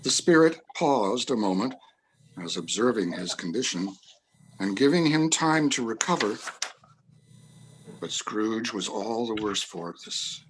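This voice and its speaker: A man reading a classic story aloud as narrator, in a grave, measured, deliberate manner. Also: lowish voice, animated, a little hiss or hum, low-quality recording. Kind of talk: scripted dramatic story narration.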